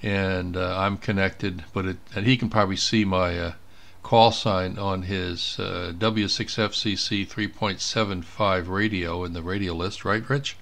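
Low-voiced man giving a software walkthrough. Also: steady tone, a little slow, creaky. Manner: thinks aloud while clicking through steps. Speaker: presenter of a software tutorial. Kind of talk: informal step-by-step screen demonstration. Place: indoors at a computer.

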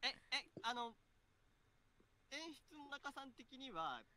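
A woman's voice: high voice, sing-song, slow pace, pushed and bright, slight background noise. A woman voice actor talking casually in a rehearsal, polite and a little hesitant.

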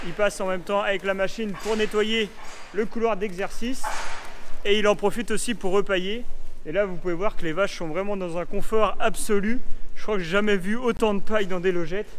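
A man's voice: sits high, a little hiss or hum. A young man in his twenties giving a chatty, enthusiastic farm tour vlog, filmed on a handheld action camera in a large barn. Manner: chatty, enthusiastic, frequent fillers. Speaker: farm vlogger. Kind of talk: casual vlog walkthrough. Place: large barn and milking parlour, indoors. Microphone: action camera held at arm's length.

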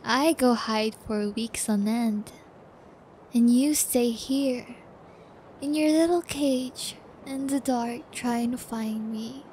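A woman's voice: on the high side, mellow, a little hiss or hum. A woman playing a sadistic, possessive psychopath who keeps a captive pet, taunting and gloating in an intimate ASMR audio roleplay. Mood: sly, threatening. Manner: taunting, gloating, giggly, drawn-out threats.

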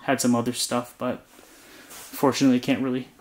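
A young man casually narrating his walk through an abandoned house, chatty and relaxed. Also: mid-range voice, a little fast, a little hiss or hum. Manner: relaxed, chatty, frequent "like" and laughs. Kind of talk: casual walkthrough vlog. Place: inside an empty abandoned house.

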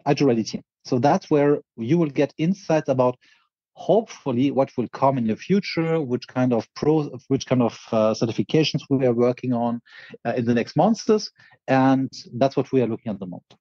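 French guy cohosting a podcast, husky mellow voice, fast, dry dull sound. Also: mid-range voice, no echo. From France. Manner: quick, with frequent restarts and fillers. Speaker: co-host. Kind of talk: casual podcast chat.

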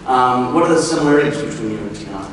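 A man's voice: mid-range voice, lively intonation, quick pace. A middle-aged American man casually moderating a live panel as its host. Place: large convention stage, indoors. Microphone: captured by a distant camera in audience. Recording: very echoey hall, distant, loud crowd noise.

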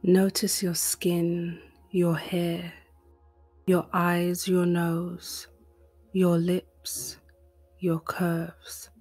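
A woman reading a guided meditation in a soft, breathy, gentle and soothing voice. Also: fairly low pitch, completely flat delivery, very slow, long pauses. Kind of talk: guided meditation, scripted narration. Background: music.

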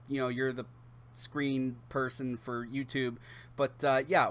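A man in his thirties speaks casually to camera as a channel host. His voice sits a little higher than most men's. He is chatty, often stretching out pauses as he gathers the next phrase. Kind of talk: casual direct-to-camera giveaway announcement.

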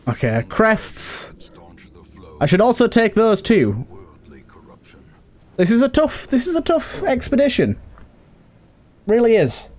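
A man's voice: sits high, big pitch swings, slow, pauses often, a little mellow. A man giving casual let's play commentary as a gaming host, talking to himself and to the characters on screen. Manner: talks to himself and to game characters.

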